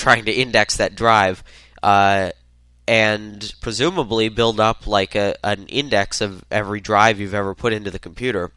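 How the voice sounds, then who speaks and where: A man's voice: fairly low pitch, bright, forceful tone, smooth, no echo. A man with a head cold hosting a solo tech podcast, talking loosely with lots of uhs. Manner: rambling, many filler uhs. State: congested from a cold. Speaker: podcast host.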